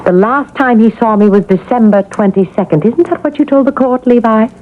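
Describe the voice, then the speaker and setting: A woman's voice: fairly high pitch, a little fast, natural voice. An actress playing a reproachful, theatrical female ghost of an eighteenth-century woman in a 1970s radio drama. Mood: accusing. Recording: muffled, noisy old radio broadcast.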